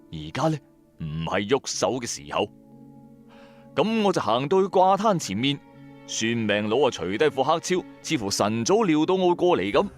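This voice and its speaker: A man's voice: medium pitch, lightly acted. A man playing a bankrupt, hot-tempered trader who narrates his own story in colloquial, emphatic style for a radio drama. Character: bankrupt male electronics trader, hard-pressed, hot-tempered, streetwise. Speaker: first-person narrator and lead. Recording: background music under voice. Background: music.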